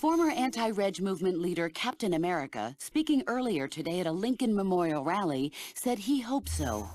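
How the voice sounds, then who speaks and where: Woman as a lively, poised news anchor. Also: mid-range voice, brisk, dry recording, natural voice. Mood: formal, upbeat. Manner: polished, formal. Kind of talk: scripted news report in a game.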